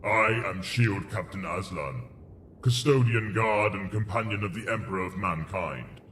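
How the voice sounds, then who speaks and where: Man acting a forceful, proud sci-fi warrior captain. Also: low voice, unhurried, recorded from a distance, a little hiss or hum. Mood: proud salute. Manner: declamatory, martial, formal. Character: proud, formal armored warrior captain of the far future. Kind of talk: scripted audio drama dialogue.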